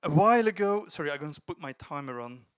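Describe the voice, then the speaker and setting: A man's voice: a little high, lively intonation, quick pace, smooth. A middle-aged man giving a talk to an audience, telling an anecdote and animatedly quoting dialogue before reflecting on it. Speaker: speaker at a youth debate.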